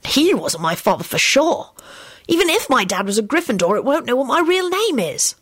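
A woman's voice: high voice, very animated, moderate pace, fairly bright tone, slightly rough, no echo, clean studio sound, pitched above the performer's own voice, full character voice. A woman voicing a proud, hot-tempered young boy in a storybook, blurting and defensive. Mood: gloomy worry.

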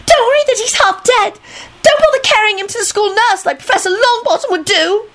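A woman voicing a fiery young schoolgirl in an audiobook, shrill and frantic. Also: brisk, fairly bright tone. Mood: furious.

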